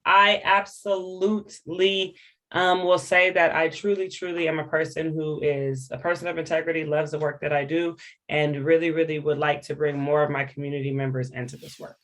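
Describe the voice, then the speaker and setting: An American woman talks in an informal interview in a low-pitched voice. She is candid and earnest about what she says. She leans on key words, repeating them for emphasis. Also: flat delivery, moderate pace, fairly bright tone. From Hawaii, United States. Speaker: interview guest, education program director.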